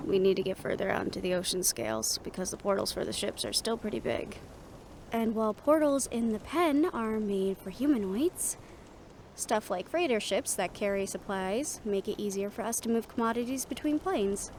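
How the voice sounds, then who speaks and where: Fantasy audio roleplay: composed, protective female adventurer, played by a woman, mid-pitched with gravelly fry, gently teasing. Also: expressive, normal speed, slight background noise, natural voice. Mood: wry.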